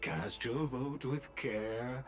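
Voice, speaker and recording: An actor playing a solemn, ceremonial official presiding over a vote in a video game, formal and deliberate. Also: male voice, mid-range voice, animated, soft background music. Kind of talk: dramatic video game acting.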